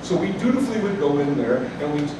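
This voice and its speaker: A man's voice: on the high side, very animated, fast talker, background noise. A man in his sixties from Chicago's south side, telling a story live with folksy, humorous asides. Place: cafe. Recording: echoey cafe, distant mic, chatter. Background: room ambience and chatter.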